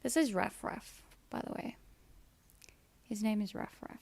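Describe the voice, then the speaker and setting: A woman streamer with a soothing voice and a gravelly, creaky edge, talking casually to her chat. The sound is dry and close, with no room echo. She is chatty and playful, then firm when she shuts a topic down. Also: mid-range voice, measured pace, a little mellow. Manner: chatty and playful, breaking into firm repeated no's. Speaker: live streamer. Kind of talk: casual live-stream chat, answering viewers' comments.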